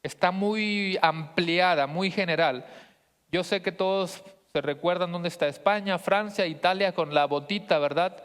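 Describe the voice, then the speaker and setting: A man's voice: high voice, measured pace, a bit bright, smooth. A man with a northern Mexican accent preaching as a pastor, teaching conversationally and often checking in with questions. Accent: northern Mexican Spanish. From Monterrey, northern Mexico. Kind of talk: teaching sermon to a congregation. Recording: long hall reverb.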